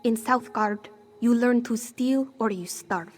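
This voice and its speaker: High-voiced scrappy cat-folk thief played by a woman. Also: slow pace, slight background noise. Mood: bleak, earnest. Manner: refers to herself in third person, formal contraction-free phrasing. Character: anxious, scrappy female cat-folk thief, defensive and street-hardened. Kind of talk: fantasy game character dialogue.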